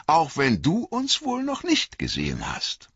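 A man voicing a group of playful, teasing elves in a high, light voice. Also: huge pitch swings, measured pace, a bit bright, breathy edge, no echo, highs cut off, exaggerated voice.

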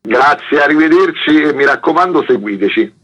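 A man's voice: fairly high pitch, lively intonation, moderate pace, muffled recording. A man speaking as a radio guest, informally presenting a programme of events.

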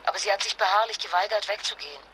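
An actress playing an anxious but determined wife and mother in a naturalistic radio drama, speaking haltingly and with emotion. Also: medium pitch, bright, forceful tone, a little hiss or hum, close to an everyday voice, rough recording. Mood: distressed, apologetic.

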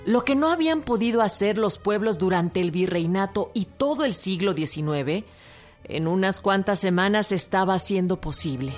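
A woman's voice: medium pitch, lively intonation, moderate pace. A woman with a Mexican accent delivering scripted documentary narration as a narrator. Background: music.

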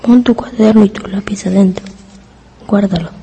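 A woman's voice: mid-range voice, fairly even intonation, moderate pace, vocal fry, warm tone, breathy edge. A woman playing a friendly, bossy, chatty young schoolboy in a radio drama, insistent and confiding. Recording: long hall reverb.

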